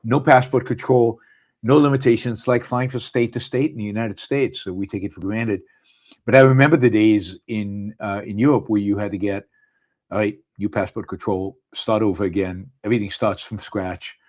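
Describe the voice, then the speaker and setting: Halting Israeli-accented man hosting live. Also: lowish voice, fairly even intonation, a little mellow. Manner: halting, many fillers and restarts. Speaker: podcast host. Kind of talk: live casual monologue. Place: abroad, speaking on a live stream.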